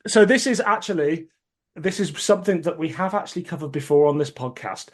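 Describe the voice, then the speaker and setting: A man's voice: fairly high pitch, lively intonation, fairly quick. A British man hosting a podcast, moving between prepared notes and chat. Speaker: podcast host. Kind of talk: podcast talk, reading prepared notes and chatting.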